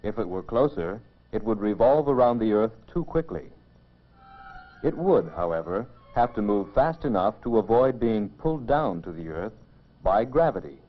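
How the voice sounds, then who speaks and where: A man giving measured, explanatory scripted narration for a mid-century educational film, on a dull old film soundtrack. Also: fairly low pitch, expressive, faint music behind.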